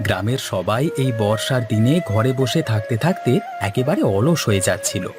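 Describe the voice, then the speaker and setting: Courteous, eager village organizer for cartoon, male actor, medium pitch, light music bed. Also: expressive, moderate pace, lightly acted. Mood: friendly. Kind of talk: animated cartoon voice acting.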